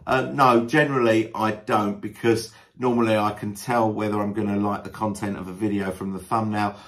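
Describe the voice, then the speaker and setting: Hesitant, low-voiced man chatting casually. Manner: hesitant, frequent ums and uhs as thinking time; self-deprecating, joking. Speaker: YouTube video creator. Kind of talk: casual talk to camera, answering a list of questions.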